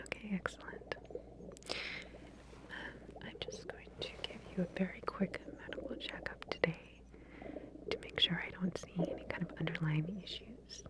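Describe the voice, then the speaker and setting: A woman doing an ASMR roleplay as a lab researcher, explaining things softly and hesitantly in a reassuring clinical manner. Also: lowish voice, steady tone, normal speed. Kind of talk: whispered ASMR medical roleplay.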